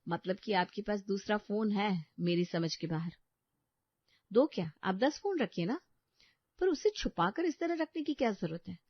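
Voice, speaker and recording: A woman acts out a troubled young wife in a cartoon story, serious and weary, full of questions. Her voice sits at a medium pitch in a dry, slightly muffled recording. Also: expressive, normal speed, no echo. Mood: suspicious. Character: troubled, suspicious young married sister-in-law.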